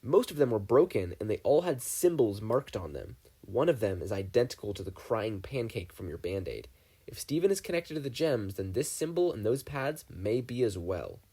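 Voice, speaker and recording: A man voicing a brisk, commanding older male scientist in a fan fiction audio reading. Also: lowish voice, sing-song, warm tone, slightly rough, heavily acted.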